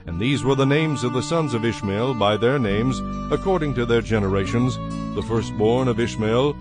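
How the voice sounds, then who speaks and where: A man narrating dramatized scripture in a solemn, measured manner. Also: mid-range voice. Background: music.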